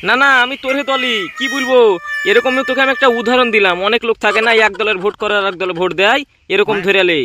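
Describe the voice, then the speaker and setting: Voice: mid-range voice, steady tone, quick pace, noisy background, natural voice. A performer voicing a grumbling male village party worker in a comic cartoon, talking in colloquial rural style. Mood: flustered, evasive.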